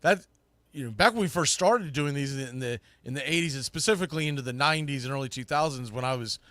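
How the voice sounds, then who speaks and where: A man's voice: mid-range voice, fast, bright, forceful tone, dry recording. A middle-aged man hosting a sports talk show, chatting casually and informally in a rambling, mildly salty way. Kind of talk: casual, rambling live podcast chat.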